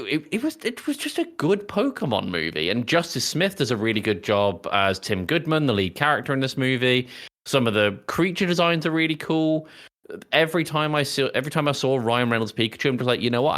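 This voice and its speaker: A man's voice: medium pitch, a bit bright. A man hosting a casual film discussion podcast in a chatty, jokey way. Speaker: podcast host.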